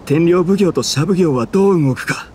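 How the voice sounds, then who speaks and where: A man's voice: fairly high pitch, moderate pace, a little mellow, a little hiss or hum, natural voice. A man playing a wary, brooding retainer in an anime game, speaking seriously.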